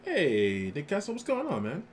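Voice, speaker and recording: A man's voice: a little high, wildly swooping pitch, normal speed. A man casually chatting to viewers as he streams a video game. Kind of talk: casual livestream chat with viewers. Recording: clear noise behind the voice.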